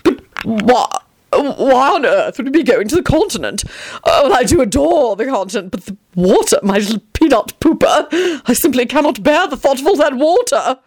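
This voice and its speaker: A woman's voice: sits high, big pitch swings, moderate pace, darkish tone, no room sound, voice pushed up, exaggerated voice. A woman voicing a fussy, flamboyant wizard man who frets and wails theatrically. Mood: flustered. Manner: theatrical, fretful, wailing.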